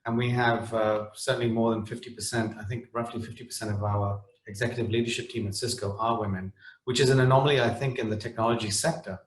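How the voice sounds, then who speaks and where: A middle-aged man from the Netherlands talks on a webinar panel, halting and full of restarts and fillers. His voice sits at an ordinary middle pitch. The room around him gives a noticeable echo. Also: fairly even intonation. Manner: hesitant, many restarts and fillers.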